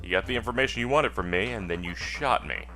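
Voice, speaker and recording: A low, bright-edged voice for a wry amnesiac dead man played by a man reading game dialogue, questioning and pressing with a sardonic bite. Music plays underneath. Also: quick pace. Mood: accusing.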